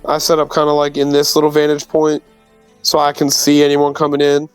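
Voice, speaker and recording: A man's voice: fairly high pitch, monotone, brisk, clean tone, soft background music. A man chatting casually as a player in a tabletop roleplaying game.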